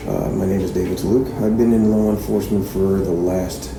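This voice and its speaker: An actor playing a methodical small-town police chief conducting a recorded interview in a halting, procedural way, in a naturalistic fiction podcast. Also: male voice, sits low, steady tone, background noise. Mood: measured, probing. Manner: procedural, halting, full of hesitations. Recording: roomy interview-room echo, music behind.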